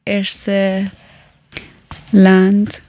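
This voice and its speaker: A young woman from a family raised in Iran, speaking German, slowly and often pausing to search for words. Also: medium pitch, monotone, mellow. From Afghan family, born and raised in Iran. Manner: searches for words, many hesitations. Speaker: interviewer. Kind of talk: casual conversation in learner German.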